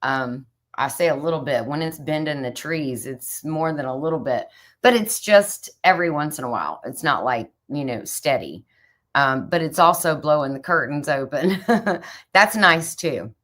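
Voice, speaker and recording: A middle-aged woman, a little raspy this morning, chatting casually as a livestream host and greeting viewers by name. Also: sits low, animated, moderate pace, fairly bright tone. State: slightly raspy from a chill.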